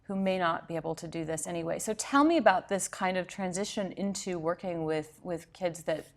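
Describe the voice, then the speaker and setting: Lower-voiced woman hosting an interview. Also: a little fast. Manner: encouraging, laughs easily, asks follow-up questions. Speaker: TV interview host. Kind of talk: conversational interview.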